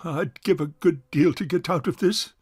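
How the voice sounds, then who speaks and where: A man playing a stubborn, pompous bachelor uncle in a stage farce, speaking formally and with measured care. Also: a little high, a little mellow, husky, dry recording, studio quality. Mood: dry, reluctant. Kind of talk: stage farce audio drama acting.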